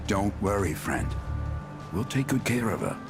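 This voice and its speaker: A man's voice: on the low side, sing-song, a little slow, over music. An actor playing a kindly, easygoing male caretaker in a video game, with a soothing, folksy manner. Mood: reassuring. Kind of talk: video game cutscene acting.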